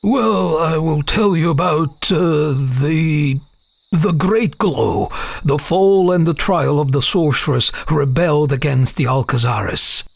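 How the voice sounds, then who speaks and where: A man's voice: on the high side, takes it slowly, creaky, slightly rough, dry recording, full character voice. A man playing an uneasy half-elven father who tells a dramatic tale to his young daughter in a fantasy audio drama. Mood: hesitant. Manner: hesitant openings, dramatic storytelling.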